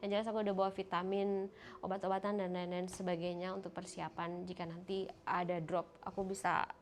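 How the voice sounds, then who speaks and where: A woman's voice: lowish voice, flat delivery, a little fast. Young woman, nasal cold voice, casual handheld vlog in a hotel room, faint ambient noise. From Indonesia. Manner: chatty, talking to viewers, pointing things out. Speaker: vlogger. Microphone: handheld phone camera.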